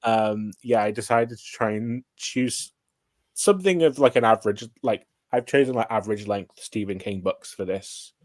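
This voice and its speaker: A man's voice: on the low side, normal speed, no echo. A man chatting casually about books as a livestream guest, meandering and hesitant, often saying "like". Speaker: guest co-streamer.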